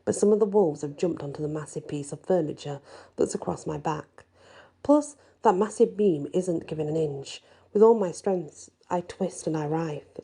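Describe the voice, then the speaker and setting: Woman, low-pitched, very mellow and dark, husky, soft dramatic story narration, close mic. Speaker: storyteller reading a fantasy audiobook. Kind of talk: ASMR-style first-person dramatic story narration.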